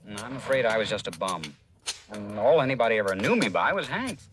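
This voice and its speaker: An eager, folksy young American drifter in old-time radio drama. He speaks plainly and respectfully, with animated swings of pitch. The old recording is noisy, with clicks. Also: quick pace. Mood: earnest, pleading. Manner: eager, plain-spoken, deferential. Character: earnest, folksy young male drifter pleading his identity.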